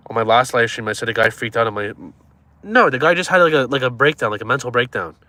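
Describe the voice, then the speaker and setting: Twenty-something guy rambling on his livestream, teasing chat, kinda bright sharp tone. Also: medium pitch, animated, fast talker, dry recording. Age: 20s. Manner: joking, teasing viewers, rambling. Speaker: live streamer. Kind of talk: casual live stream chat reading comments.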